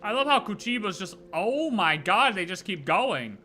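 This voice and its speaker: Bright, lively male streamer. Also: high-pitched, normal speed, faint music behind. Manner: casual, joking, swears freely. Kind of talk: live game reaction commentary.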